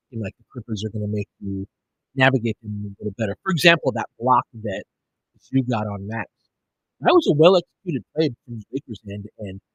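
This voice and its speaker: A man's voice: medium pitch, slightly rough, no room sound, rough recording. A man giving live basketball commentary and analysis in an informal, conversational way. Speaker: play-by-play commentator and host.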